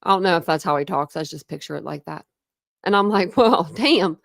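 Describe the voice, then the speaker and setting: A woman's voice: a little high, dry recording. Chatty American woman with a dry sound. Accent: mixed Midwest and Southern American. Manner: chatty, rambling, quick asides with laughs. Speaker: true crime livestream host. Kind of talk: casual livestream chat reading comments.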